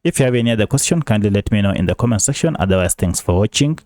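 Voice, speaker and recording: A man's voice: lowish voice, expressive, very fast, a little mellow, dry recording, studio quality. A man hosting an app tutorial, giving polite step-by-step instructions. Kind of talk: screen-recording tutorial narration.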